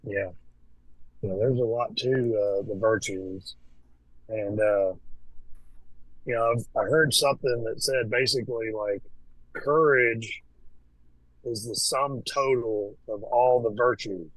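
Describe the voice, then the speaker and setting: A man chats as a guest in a loose, unhurried way, stumbling through fillers and restarts. His voice sits at an everyday middle pitch, and the recording is dry, with no room sound. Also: dry recording, poor audio. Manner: relaxed, hesitant, with many fillers and restarts. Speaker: podcast guest, Freemason. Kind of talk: casual conversation.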